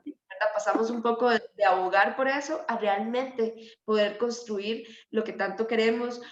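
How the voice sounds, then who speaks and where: A woman's voice: a little high, slight background noise. A woman with a Costa Rican accent giving an informal explanatory presentation over an online call. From Costa Rica. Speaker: presenter, climate activist. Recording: dull, echoey online call audio.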